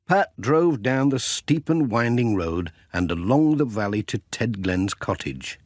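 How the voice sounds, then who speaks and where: A man tells a children's story in an expressive, measured way. His mid-pitched voice moves up and down freely at a slightly slow pace, recorded close and dry. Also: dry recording. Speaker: audiobook narrator.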